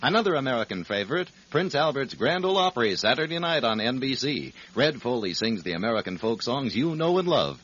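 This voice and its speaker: A man's voice: mid-range voice, big pitch swings, fairly quick, hardly any pauses, fairly bright tone, breathy edge. A man reading a scripted 1940s radio advertisement as a commercial announcer. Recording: dull old radio recording.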